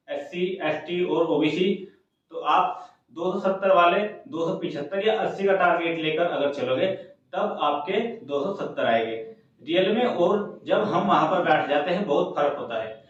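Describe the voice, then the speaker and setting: A man's voice: on the high side, unhurried. A man giving informal exam guidance to students in an instructive way, repeating points for emphasis. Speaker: exam coaching YouTuber. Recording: very echoey room, distant mic, muffled.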